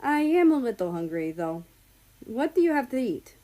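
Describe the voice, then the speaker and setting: A woman's voice: fairly high pitch, very animated, normal speed, mellow, pitched above the performer's own voice. Woman voicing an easygoing, polite male friend. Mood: pleased. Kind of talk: acted storybook dialogue.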